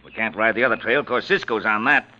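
Carlos, low, hard-edged ruthless outlaw. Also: male voice, quick pace, bright, forceful tone. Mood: grim, scheming. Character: Carlos, ruthless sneering outlaw gang leader. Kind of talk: old-time radio western acting. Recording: dull, band-limited old radio recording.

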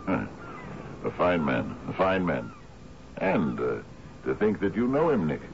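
Lordly sea captain, hearty, repetitive, deep swooping voice, radio drama, dull noisy broadcast sound. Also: male voice, wildly swooping pitch, measured pace, creaky, exaggerated voice. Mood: delighted, insistent. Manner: hearty, lordly, repeats his phrases. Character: genial, domineering sea captain, master of an island. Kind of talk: old-time radio drama acting.

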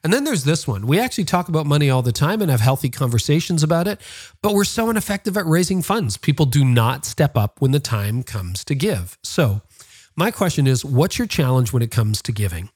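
A man's voice: a little high, very animated, a little fast, darkish tone, slightly rough. A man speaking as a podcast host, in interviews and sponsor reads.

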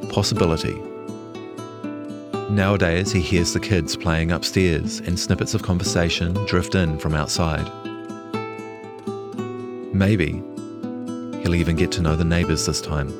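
A man with a New Zealand accent narrates a scripted feature in a very low voice. He reads as a journalist telling his own written story. Guitar music plays clearly under his words. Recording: music behind the voice.

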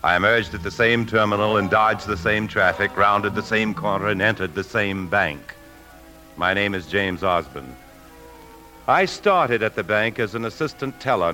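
An actor playing a weary, tempted bank manager who narrates in a measured, introspective way in a 1950s radio drama. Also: male voice, lowish voice, sharp tone, soft background music. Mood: brooding, matter-of-fact. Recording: 1950s radio broadcast recording.

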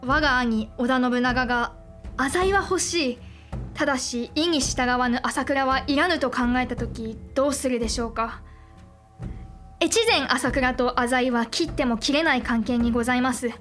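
A high, poised woman's voice as a shrewd young lady of a samurai house. She speaks in formal archaic phrasing, measured and sure of herself, in a period audio drama with faint music behind her. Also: a bit bright. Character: composed, shrewd young noblewoman of warring-states Japan.

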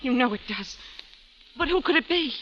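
A woman's voice: high-pitched, expressive, moderate pace, fairly bright tone, slight background noise. An actress playing an anxious young woman whose father has died, guarded and tense, in 1940s radio-drama style. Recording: dull old radio recording.

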